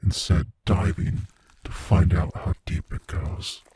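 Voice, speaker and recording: An ancient, sadistic monster, acted by a man, murmurs in a very breathy, husky low voice. He speaks slowly and intimately, taunting his prey with world-weary menace in a horror ASMR roleplay, very close and dry. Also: warm tone, no room sound, exaggerated voice. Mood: weary, brooding. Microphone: close studio mic, dry.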